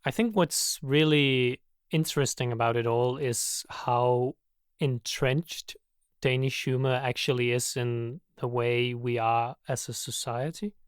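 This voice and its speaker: A man with a smooth, clear voice speaks as a guest researcher. He talks in a measured, reflective way. The studio sound is dry. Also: medium pitch, steady tone, no echo. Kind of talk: casual studio chat.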